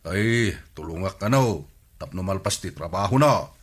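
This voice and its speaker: A man's voice: low-pitched, animated, unhurried. A man with an Ilocano accent playing a cheerful young villager in a comedy radio drama, who laughs after nearly every remark. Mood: giggly, cheerful.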